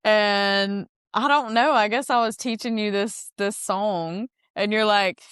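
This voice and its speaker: A woman's voice: mid-range voice, a little slow, a bit bright, clean tone, no echo, studio quality. A woman from Mississippi with a Southern US accent who hosts a podcast. She chats in a teasing, playful way and laughs easily. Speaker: podcast host. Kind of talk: casual chat.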